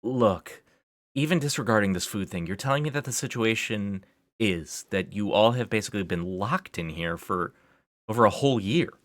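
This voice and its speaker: A man's voice: low voice, moderate pace, pauses often, a bit bright, no echo. A man voicing an anxious, exasperated schoolgirl with an incredulous, insistent, questioning manner. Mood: agitated, incredulous.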